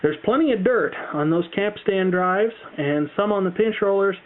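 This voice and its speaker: A man's voice: high voice, lively intonation, normal speed. An American man presenting a casual how-to demonstration, explaining things in a chatty, careful way. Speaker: tutorial presenter. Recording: thin, phone-like band-limited sound.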